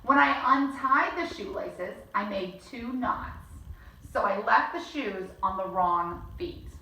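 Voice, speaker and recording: A woman's voice: medium pitch, takes it slowly, pushed and bright. A woman reading a children's bedtime story aloud as host, chatting between lines and acting out the characters. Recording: echoey room, mic at a distance.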